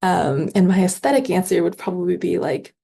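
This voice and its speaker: Female author guest, husky and gravelly, thoughtful conversational interview delivery. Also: fairly low pitch, expressive, a little fast, mellow.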